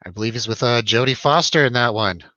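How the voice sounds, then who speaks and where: A man's voice: medium pitch, quick pace, sharp tone, no room sound, muffled recording. A man hosting a casual live movie review show with jokey, showy announcer-style introductions.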